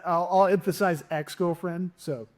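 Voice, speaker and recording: A man's voice: high-pitched, fairly even intonation, normal speed. Joking, rambling male comic host. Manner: rambling, joking, lots of restarts. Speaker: podcast host and stand-up comic. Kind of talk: casual interview chat.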